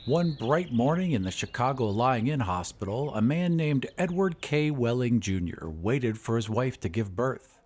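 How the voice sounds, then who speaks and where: A man hosting a podcast and narrating an audio drama in a measured, expressive way. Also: medium pitch, slightly rough. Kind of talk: scripted storytelling narration.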